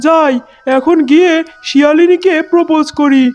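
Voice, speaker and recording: A woman's voice: high-pitched, fairly even intonation, normal speed, darkish tone, faint music behind, natural voice. A woman playing a greedy, lovesick and scheming male fox in a cartoon, in a theatrical manner. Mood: lovestruck. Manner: theatrical, gushing. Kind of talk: cartoon voice acting.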